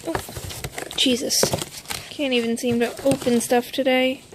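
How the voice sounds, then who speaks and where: A woman's voice: high voice, flat delivery, measured pace, noisy background. A woman casually narrating an unboxing as a vlogger, informal, with muttered asides to herself. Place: indoors at home.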